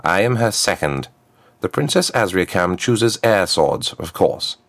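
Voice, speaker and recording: Man as a stern, low-voiced protector. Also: lively intonation, unhurried, vocal fry, a bit bright, exaggerated voice. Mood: resolute. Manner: blunt, scolding, firm. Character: stern, protective male duelling second.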